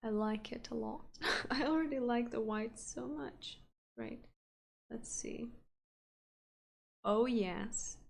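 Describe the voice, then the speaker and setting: A woman's voice: on the high side, takes it slowly, vocal fry, pauses often, slight background noise. A woman chatting casually and rambling with frequent laughs as she paints on a live stream. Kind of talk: casual chat while painting.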